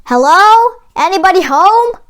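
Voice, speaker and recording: A woman's voice: very high-pitched, lively intonation, a little slow, fairly bright tone, voice pushed up, exaggerated voice. A woman reading a storybook, playing a brave, earnest young cowboy boy. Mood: calling out. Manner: earnest, resolute.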